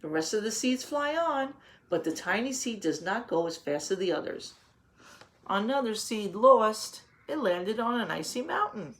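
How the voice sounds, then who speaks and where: A woman's voice: a little high, animated, normal speed. A woman teacher reading a picture book aloud to young children, patiently explaining in simple words and putting questions to the listeners.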